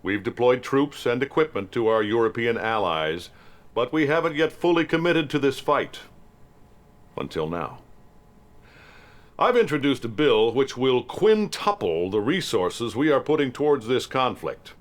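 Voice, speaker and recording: An actor playing a grandiose, hawkish American president with an American accent, giving an emphatic political speech with dramatic pauses for a video game. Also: male voice, mid-range voice, very animated. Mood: solemn.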